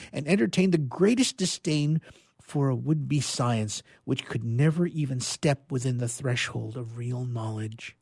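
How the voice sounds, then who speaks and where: A man reading a passage from a classic novel aloud in a measured, formal recitation. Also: medium pitch, darkish tone, breathy edge, dry recording, studio quality. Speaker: reader at a literary reading.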